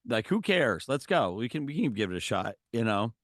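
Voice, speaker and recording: A man's voice: big pitch swings, normal speed, fairly bright tone, dry recording, studio quality. A middle-aged man, a musician guest, chatting casually with lots of fillers and restarts. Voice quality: low singing voice. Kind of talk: casual interview chat.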